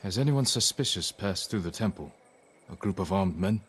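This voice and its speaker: An actor playing a stern young samurai lord who speaks in a calm, clipped, formal way. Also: male voice, deep voice, moderate pace, husky. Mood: steady.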